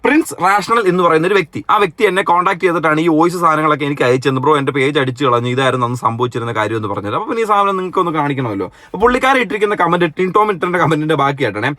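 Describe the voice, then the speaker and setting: A man's voice: fairly high pitch, animated, very fast, few pauses, bright, forceful tone, dry recording. A man with a Kerala accent giving casual, mocking reaction commentary and reading comments out theatrically. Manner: mocking, sarcastic, reads comments aloud theatrically. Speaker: online commentary host.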